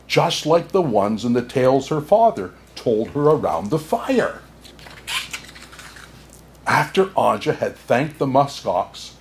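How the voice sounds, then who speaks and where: A man playing a kindly, jolly old Santa Claus, reading a children's storybook aloud in an expressive way. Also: on the high side, full character voice. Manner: unhurried, chuckling asides, expressive storytelling.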